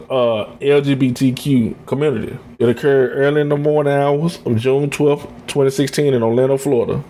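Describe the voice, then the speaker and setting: A man's voice: mid-range voice, a little mellow. Colloquial American man hosting a podcast. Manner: opinionated, repeats phrases for emphasis, colloquial. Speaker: podcast host. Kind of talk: informal commentary, partly read from notes.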